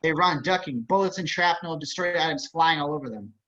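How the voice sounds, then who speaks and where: A man with a fairly high, bright voice reads out stage directions in scripted narration, casual and wry. The audio is slightly dull, like a video call. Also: fairly quick, slight background noise. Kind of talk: scripted narration at an online table read.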